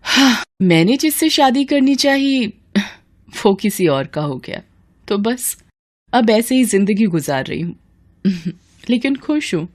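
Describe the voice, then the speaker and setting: Woman voicing a kindly, animated lady officer. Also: fairly high pitch, slow pace, heavily acted. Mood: wistful. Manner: affectionate, assured, welcoming. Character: kindly, generous, authoritative female senior government officer. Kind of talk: Hindi cartoon story dialogue.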